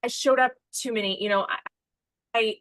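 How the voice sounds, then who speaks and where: A woman's voice: fairly high pitch, steady tone, moderate pace, fairly bright tone, no echo. A woman hosting a podcast in casual conversation, reflective and thinking aloud as she talks. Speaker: podcast host.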